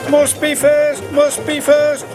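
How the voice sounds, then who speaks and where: A man voicing an impatient, boastful young male steam engine in a storybook, with a high, strained voice. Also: completely flat delivery, takes it slowly. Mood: gleeful. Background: background music.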